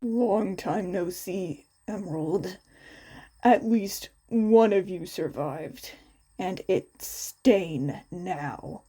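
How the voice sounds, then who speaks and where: A woman plays a menacing male swordsman villain from a story reading. The voice drops lower and turns cold. Every word is drawn out very slowly. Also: mellow, breathy edge, heavily acted. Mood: cold, taunting.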